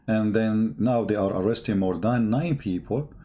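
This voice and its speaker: A man's voice: fairly low pitch, moderate pace, warm tone. A man who speaks English as a second language, giving impassioned off-the-cuff news commentary in an emphatic, repetitive way full of rhetorical questions. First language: not English.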